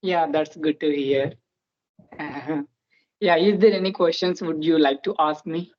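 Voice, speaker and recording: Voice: mid-range voice, steady tone, measured pace, darkish tone, muffled recording. A speaker in their twenties with a Telugu-influenced Indian accent, a native Telugu speaker, chatting earnestly as host and starting many phrases with "so". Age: 20s. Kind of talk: casual interview chat.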